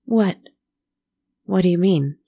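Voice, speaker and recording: A storyteller voicing a frightened young working girl, answering in a raised, stammering, anxious voice that stays gravelly. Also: mid-range voice, takes it slowly, lo-fi sound, pitched above the performer's own voice, full character voice.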